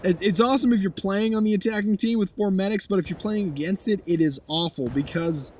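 A hoarse young man commenting on his own gameplay footage, blunt and sarcastic, swearing now and then. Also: sits high, brisk, a little mellow, a little hiss or hum. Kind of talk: casual game commentary over footage.

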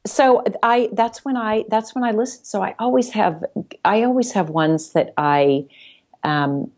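A woman's voice: medium pitch, a little slow, a little mellow. A woman speaking as a podcast guest in casual conversation, explaining things reflectively step by step. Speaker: podcast guest, sales executive.

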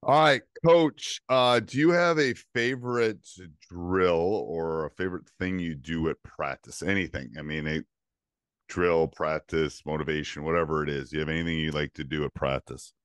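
A man's voice: a bass voice, moderate pace, clean tone, no room sound, studio quality. A middle-aged man hosting a basketball coaching podcast, asking questions in a casual, enthusiastic way with lots of affirming interjections. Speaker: podcast host. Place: home studio with bookshelves. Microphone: studio microphone with headphones.

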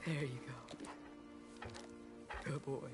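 An actor playing a gentle, earnest teenage son in a video game cutscene, soft and tender. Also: male voice, mid-range voice, little pitch movement, drawn-out words, over music. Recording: game audio with loud score.

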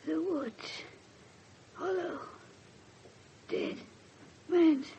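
A woman playing an eerie spirit medium in a trance, halting and chanting, in a radio play. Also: extremely high pitch, gravelly, dark tone, exaggerated voice. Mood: entranced, eerie. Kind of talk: 1970s radio drama acting. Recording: muffled, noisy old radio recording.

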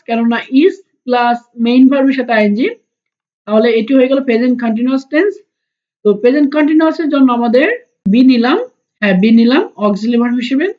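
A woman explaining a lesson step by step as a teacher, repeating key terms, in a dull recording. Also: sits high. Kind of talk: explanatory grammar lesson for school students.

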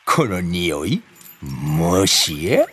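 A man's voice: deep voice, big pitch swings, very slow, fairly bright tone, husky, exaggerated voice. Pompous cat king, wildly swooping voice. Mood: curious. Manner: haughty, theatrical. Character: pompous, lazy, big male cat king. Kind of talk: anime game voice acting. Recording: long echo of a great hall.

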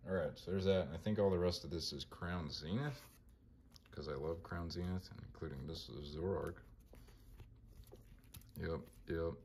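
A man with a monotone voice casually talking through his card collection, mumbling names as he flips through binders. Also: sits low, measured pace, pauses often, a little hiss or hum. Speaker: collector vlogger.

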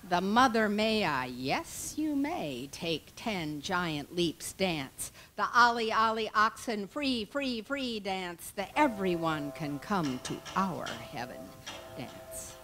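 Slow, incantatory older woman reciting poetry. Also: mid-range voice, sing-song, fairly bright tone, faint music behind. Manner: deliberate, incantatory phrasing. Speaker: poetry reader. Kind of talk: dramatic spoken-word poetry recitation. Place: dark theatre stage. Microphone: handheld-type microphone on a stand, close.

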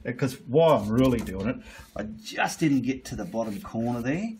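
A man's voice: medium pitch, lively intonation, normal speed, background noise. A man giving a casual, blunt painting tutorial while he works at an easel, with the odd mild swear.

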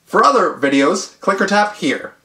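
A man in his thirties hosting a tech tutorial, speaking upbeat and clear straight to the camera in a small room at home. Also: high-pitched, very animated, moderate pace, bright, forceful tone, slight background noise. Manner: upbeat, clear presenter delivery. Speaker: tech channel host. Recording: roomy echo.

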